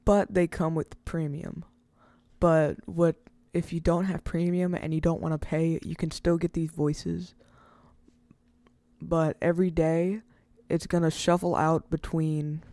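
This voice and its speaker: A casual, rambling speaker hosting an informal step-by-step software tutorial, recorded close to the mic as a voice-over. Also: mid-range voice, no room sound. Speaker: tutorial host.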